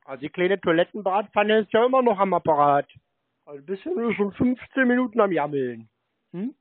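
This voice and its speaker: Casting: male prank caller, slightly high voice, cheeky and crude taunts, thin telephone-band sound. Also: animated, a little fast, no room sound. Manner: mocking, crude, cheeky pet names. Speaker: scambaiting prank caller and show host. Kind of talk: teasing prank phone call. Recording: dry, band-limited phone-call recording.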